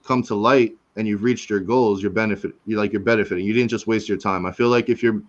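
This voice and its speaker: A man's voice: fairly low pitch, fairly even intonation, fast talker. Laid-back forty-year-old man, lo-fi audio. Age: 40s. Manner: laid-back, rambling, says "right?" and "man" often. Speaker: host. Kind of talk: casual chat.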